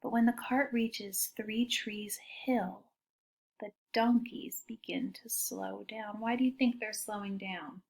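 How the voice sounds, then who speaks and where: A woman teacher reading a picture book aloud to children in an expressive way, pausing to ask them questions. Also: mid-range voice, gravelly. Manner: expressive, child-directed, often asking questions.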